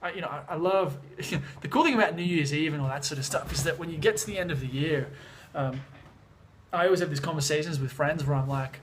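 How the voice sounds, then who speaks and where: A young man with an Australian accent talks to a handheld camera in a relaxed, rambling vlog style. His voice sits a little high and is slightly hoarse from a cold, with a faint hum of background sound. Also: fairly quick. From Sydney, Australia. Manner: relaxed and chatty, many fillers and restarts. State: a bit hoarse from being sick. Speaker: music producer vlogger. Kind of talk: casual vlog talk to camera. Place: home in the mountains, moving between outdoors and indoors.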